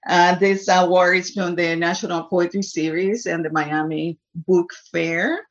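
A woman's voice: lowish voice, fairly even intonation, unhurried, dull audio. A woman from Puerto Rico with a Puerto Rican accent, a native Spanish speaker, speaking as a poet telling personal stories and reading to an audience. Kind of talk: personal storytelling and poetry reading before an audience.

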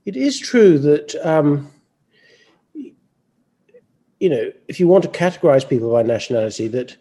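A man who is a novelist speaking as a panel guest, halting and reflective, with stammered repeats. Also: fairly high pitch, animated, mellow, husky. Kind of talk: panel discussion.

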